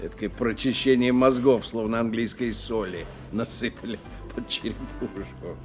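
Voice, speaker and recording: An actor playing a blunt, earthy skeptic who talks in a gruffly familiar, colloquial way in a radio play. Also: male voice, mid-range voice, fairly even intonation, normal speed, darkish tone, faint music behind, close to an everyday voice. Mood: bluff, musing. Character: blunt, earthy man, skeptical drinking companion. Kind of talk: 1990 radio play acting.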